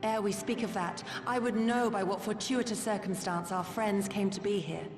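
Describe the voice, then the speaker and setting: A woman's voice: mid-range voice, fairly even intonation, lightly acted. Woman acting a composed rebel agent, echoing hall. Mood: sincere. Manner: formal, measured, courteous. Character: composed, courteous female resistance agent, loyal and earnest. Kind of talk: scripted game voice acting in archaic formal English. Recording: echoey hall reverb under clear music.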